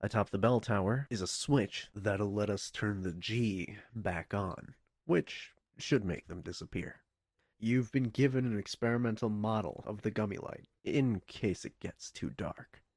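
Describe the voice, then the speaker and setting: A man playing a wry, bossy headquarters dispatcher in a video game, giving deadpan official orders with goofy, sarcastic asides. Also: lowish voice, a little slow, slightly rough, dry recording, studio quality. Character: wry, bossy, easily exasperated male headquarters dispatcher sending prisoners on missions. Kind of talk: video game mission briefing voice lines.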